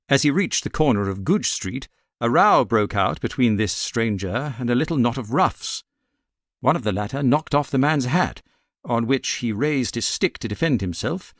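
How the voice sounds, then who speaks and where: A self-assured Victorian gentleman detective, played by a male audiobook reader, with a British accent. He is precise, amused and theatrical, with pitch that rises and dips freely. The sound is dry and close. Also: no echo. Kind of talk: audiobook character reading.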